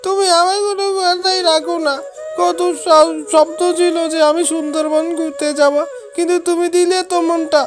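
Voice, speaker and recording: A woman's voice: very high-pitched, fairly even intonation, moderate pace. A woman voicing a stubborn, eager young village boy in a cartoon, pleading and petulant. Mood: hurt. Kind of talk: amateur cartoon voice acting. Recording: loud music behind the voice.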